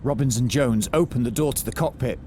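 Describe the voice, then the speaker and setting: A man's voice: medium pitch, a little fast, noisy background, lightly acted. A man playing the dramatic narrator of a mock detective serial, reading scripted narration. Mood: suspenseful.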